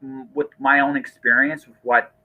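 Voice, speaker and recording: A man's voice: medium pitch, flat delivery, normal speed, phone-quality sound. A man talking casually as a podcast host, earnest and rambling, often restarting his phrases.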